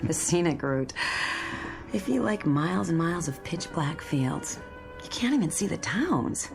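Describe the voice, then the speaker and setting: A lonely American commuter in a radio drama. Her voice is very low for a woman, friendly and tentative with a wistful curiosity, and soft music plays behind her. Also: very animated, unhurried, vocal fry, heavily acted. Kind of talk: modern radio drama acting.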